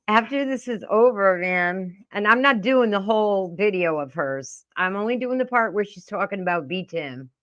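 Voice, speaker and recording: A woman's voice: mid-range voice, expressive, a little slow, a bit bright, lo-fi sound. A middle-aged woman giving casual, profane reaction commentary in a blunt, indignant, scolding manner. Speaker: reaction video host.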